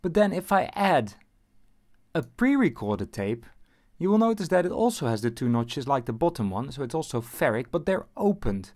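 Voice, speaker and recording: A man's voice: fairly high pitch, big pitch swings, moderate pace, darkish tone. A man narrating a casual how-to lesson as presenter, explaining things in an informal, wry way.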